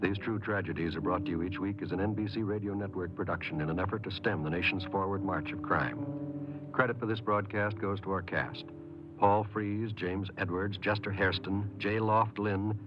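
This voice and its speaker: A man announcing in a formal, scripted 1950s radio style. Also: deep voice. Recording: muffled 1950s radio broadcast recording. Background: music.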